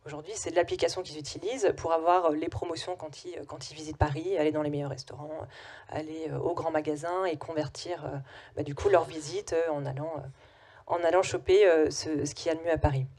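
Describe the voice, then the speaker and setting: A woman's voice: sits low, steady tone, a little fast, darkish tone. A young adult woman speaking at a business conference and answering questions, with frequent hesitations and restarts. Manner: hesitant, many "euh" fillers and restarts. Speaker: conference speaker, company CEO. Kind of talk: conference talk with Q&A.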